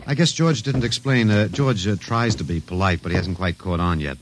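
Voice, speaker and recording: An actor playing a wry, detached academic held captive by aliens, musing drily in 1950s radio drama style. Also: male voice, sits low, brisk, background noise, natural voice. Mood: sardonic. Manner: dry, sardonic, musing with hesitations.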